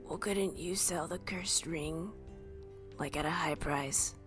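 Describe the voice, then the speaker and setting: A woman talks casually as a player in a tabletop game. She speaks slowly in a slightly low voice, with faint music behind her. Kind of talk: casual, unhurried game chat.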